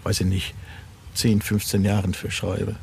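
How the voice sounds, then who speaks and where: A man's voice: on the low side, monotone, measured pace. A man with a German accent speaking as an interviewed author in casual, thoughtful, hesitant conversation. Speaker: guest author of horror novel series. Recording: clearly noisy background.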